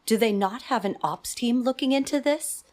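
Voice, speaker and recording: A woman playing a composed, stern military leader with weary authority in an anime audio drama, measured and commanding. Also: fairly high pitch, darkish tone, lightly acted. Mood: frustrated.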